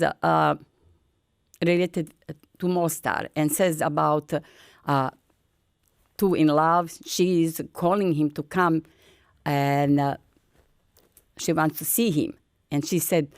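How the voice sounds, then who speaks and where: An older woman from Bosnia with a Bosnian accent, telling her story in halting, broken English in an earnest, encouraging way. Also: low voice, no room sound, clean studio sound. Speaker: featured artist. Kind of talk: personal storytelling.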